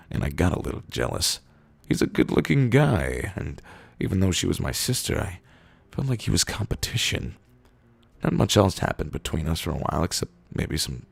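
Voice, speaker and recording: Male reader for comic monologues: husky, very creaky, deadpan delivery with drawn-out pauses, recorded dry. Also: very deep voice, dry recording. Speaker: reader of internet copypasta posts. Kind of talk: deadpan comedic reading of written posts.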